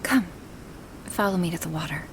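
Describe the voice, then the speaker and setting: A woman playing a gentle, apologetic female dragon in a soft-spoken ASMR roleplay, breathy and formal in her careful phrasing. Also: fairly low pitch, normal speed, slight background noise, natural voice. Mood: awed, tender. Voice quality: breathy, half-whispered.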